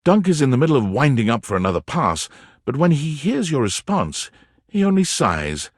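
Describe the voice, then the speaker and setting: A man playing a wry, theatrical narrator of a playful dating game, telling scenes in the second person with expressive, dramatic delivery. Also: medium pitch, moderate pace, no room sound, clean studio sound. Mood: gentle storytelling.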